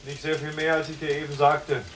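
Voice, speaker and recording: Actor as a young prisoner, clipped phrases. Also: male voice, on the high side, little pitch movement, brisk, fairly bright tone, lightly acted. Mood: watchful, measured. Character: restless young male prisoner, pressing and watchful. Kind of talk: literary radio play dialogue.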